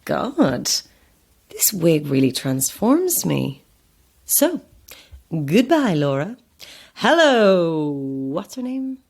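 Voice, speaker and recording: Audio drama: nervy, self-deprecating actress, unhurried, animated pitch, wry and theatrical. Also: female voice, exaggerated voice. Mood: wry, self-mocking. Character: anxious, self-deprecating actress hired for an odd job. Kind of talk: audio horror-comedy drama acting.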